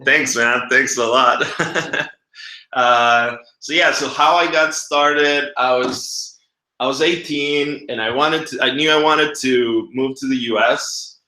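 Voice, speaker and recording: Guy from guatemala doing a casual webinar, chatty and slangy, sharp bright voice, echoey room. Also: medium pitch, steady tone, takes it slowly. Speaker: webinar host and marketing coach.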